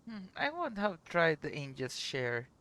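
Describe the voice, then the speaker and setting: A woman's voice: low-pitched, big pitch swings, a little slow, fairly bright tone. A woman live-streaming a video game, giving casual, reactive asides.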